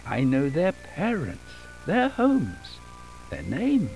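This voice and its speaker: A man playing a soothing children's storybook character, speaking in slow, drawn-out theatrical phrases. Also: on the high side, huge pitch swings, vocal fry, darkish tone, soft background music, exaggerated voice, poor audio. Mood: coaxing, reassuring. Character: soothing storybook narrator and coaxing goofy sleep fairy. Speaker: narrator of a children's bedtime story film.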